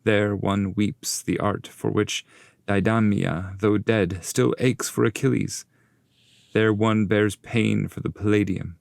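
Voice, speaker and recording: A man's voice: fairly low pitch, steady tone, takes it slowly, creaky, no room sound, clean studio sound, voice pushed up. A man voicing a wise, commanding ghostly poet guide in stately, instructive and formal verse. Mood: grave explaining. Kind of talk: dramatic audiobook reading of epic verse.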